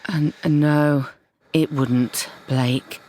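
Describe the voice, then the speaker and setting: Slow, low, coy seductress played by an actress. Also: female voice, steady tone, dark tone, a little hiss or hum. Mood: coy, flustered. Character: coy, seductive female counsellor, secretly a con artist. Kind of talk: audio drama acting.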